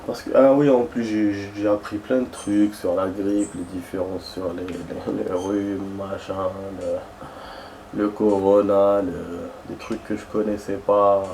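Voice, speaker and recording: A man's voice: on the low side, level tone, slow pace, warm tone, a little hiss or hum. A young man chatting casually while playing a game, rambling and hesitant, with many fillers and restarts. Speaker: gaming streamer.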